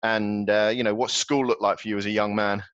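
A man hosts a podcast in a fairly low voice, asking loose, rambling questions padded with fillers. The recording is dry and close with a dull, lo-fi tone. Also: level tone, fairly quick, a bit bright, clean tone, no room sound. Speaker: podcast host. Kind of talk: casual interview chat.

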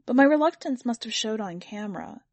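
A woman's voice: on the high side, lively intonation, brisk, a little mellow, no room sound, highs cut off. A woman narrating a first-person literary short story from a script. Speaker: podcast editor and story reader.

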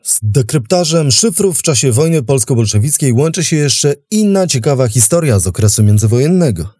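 A man hosts with scripted documentary narration. His voice is mellow and mid-pitched, captured close and dry with no audible room. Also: sing-song, brisk, breathy edge, no room sound. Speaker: host and narrator.

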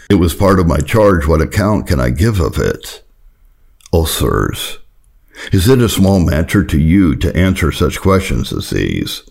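A man's voice: deep voice, gravelly, darkish tone. A man reading an old Puritan sermon aloud in a solemn, earnest, exhorting manner. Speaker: reader of historical Puritan sermons.

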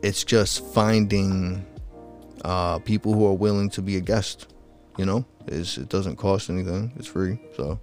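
Deep-voiced man, rambling late-night streamer. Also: fairly even intonation, measured pace, darkish tone, faint music behind. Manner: rambling, easygoing, many fillers and restarts. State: slightly raspy late at night. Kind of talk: casual solo livestream talk. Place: home at night.

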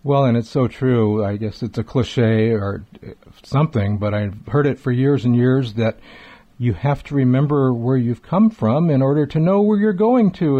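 A middle-aged or older man hosting a retro radio talk show, speaking in a chatty, wordy, enthusiastic way with emphatic repetition. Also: medium pitch. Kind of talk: enthusiastic conversational show introduction. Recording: dull, lo-fi sound.

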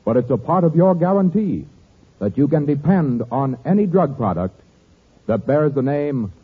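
A man's voice: fairly high pitch, big pitch swings, moderate pace, husky. A man announcing a scripted 1940s radio advertisement. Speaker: commercial announcer. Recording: phone-like vintage radio recording.

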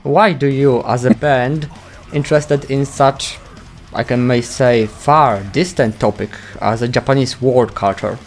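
A man with a Polish accent hosting a metal interview, native Polish, halting and searching for his English words. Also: medium pitch, fairly even intonation, faint music behind. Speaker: interview host.

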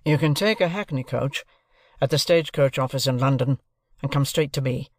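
A man's voice: medium pitch, moderate pace, no room sound, clean studio sound, close to an everyday voice. A man voicing a domineering London lawyer in an audiobook, curt, formal and insistent, giving orders as if no reply is allowed. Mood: brisk, peremptory. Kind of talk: audiobook character dialogue.

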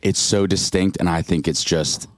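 A man co-hosting a podcast, bantering in quick, slangy casual chat. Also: low-pitched, fairly even intonation, dry recording, studio quality. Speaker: podcast co-host.

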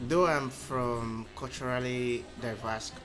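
A man, a podcast guest telling his story in measured, thoughtful speech full of um pauses. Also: mid-range voice, fairly even intonation, a bit bright, soft background music. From Nigeria. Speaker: interview guest. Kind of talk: casual conversational storytelling.

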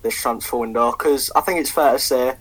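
A man's voice: mid-range voice, fairly quick, fairly bright tone, breathy edge, poor audio. A young man co-hosting a casual football podcast, swearing a lot and rambling with many ums. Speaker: podcast co-host.

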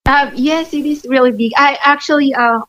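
A woman from the Philippines, a guest giving soft-spoken, brief and hesitant answers. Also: high-pitched, fairly even intonation, normal speed, dry recording. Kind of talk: casual chat. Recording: dull lo-fi recording.

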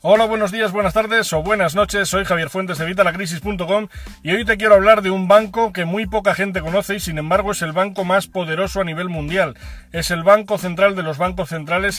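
Chatty man, fairly high voice. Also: fairly bright tone. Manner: chatty, frequent fillers and self-corrections, ironic asides. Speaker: host of a finance channel. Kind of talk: informal explainer to camera.

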